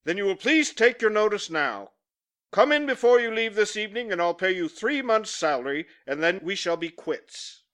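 Stern male employer, curt and scornful, a man puts him on: raised, loud, sharp delivery. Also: high voice, expressive, normal speed, voice pushed up. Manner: curt, scolding, emphatic.

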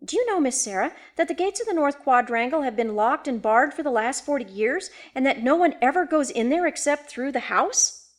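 A woman acts out a superstitious, anxious servant woman in a storybook ghost tale. Her voice is high and lifts with emphasis, exclamatory and folksy, always deferential. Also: animated, fairly quick. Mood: insistent. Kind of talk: dramatised audiobook ghost story.

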